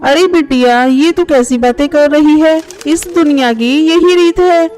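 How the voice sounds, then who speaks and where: A woman's voice: sits high, a little slow, a little mellow, soft background music. A woman voicing a practical middle-aged mother bird in a moral-story cartoon, earnest and persuasive in a motherly way. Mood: coaxing, concerned.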